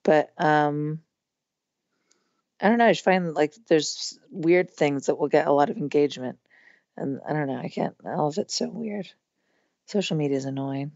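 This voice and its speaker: Hoarse low-voiced woman, chatty. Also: normal speed, darkish tone, no room sound, muffled recording. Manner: chatty, rambling with many fillers. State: losing her voice, hoarse. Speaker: podcast guest, musician. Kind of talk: casual conversation.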